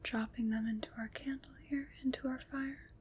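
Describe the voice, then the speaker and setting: A woman playing a gentle, caring witch in an ASMR roleplay, speaking in a breathy whisper with soothing, reassuring pauses. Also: sits high, steady tone, slight background noise, close to an everyday voice. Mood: soothing, hushed. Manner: slow, soothing, reassuring, pausing between short phrases. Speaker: ASMR performer.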